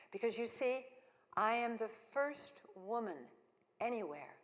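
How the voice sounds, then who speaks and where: A woman's voice: lowish voice, a bit bright. An actress playing a spirited woman suffragist in her thirties, telling her own story in the first person during a live stage monologue with earnest, wry humor. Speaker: solo stage performer. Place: church hall, live performance. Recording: long church reverb.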